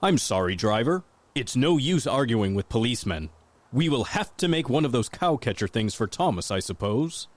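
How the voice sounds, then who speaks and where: An actor playing a pompous, blustering railway controller in an audio storybook, speaking emphatically. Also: male voice, medium pitch, big pitch swings, moderate pace. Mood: resigned. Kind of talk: audio storybook character acting.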